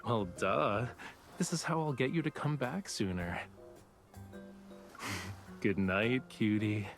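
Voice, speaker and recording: A man's voice: fairly low pitch, slow pace, faint music behind. An actor playing a playful young male love interest in a romance game, talking in a coy, teasing drawl.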